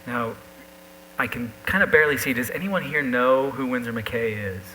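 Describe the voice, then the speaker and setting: A man's voice: mid-range voice, moderate pace, pushed and bright. A man casually telling an anecdote as a guest in a live on-stage conversation. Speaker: panel guest, illustrator. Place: live talk event stage. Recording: noisy live recording with hum.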